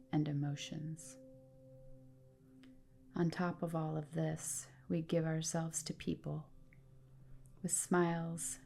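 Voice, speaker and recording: A woman with a low, mellow voice leads a guided rest practice. She speaks slowly and deliberately, leaving room between phrases. Her delivery is calm and soothing, like scripted meditation narration. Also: creaky. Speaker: yoga nidra guide.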